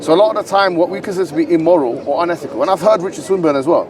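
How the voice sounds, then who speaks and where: A man with a London accent arguing forcefully in an outdoor street debate, lecturing and insistent. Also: fairly high pitch. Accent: London English. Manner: argumentative, lecturing, quick and insistent. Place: outdoor public park with crowd. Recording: distant street recording with crowd around.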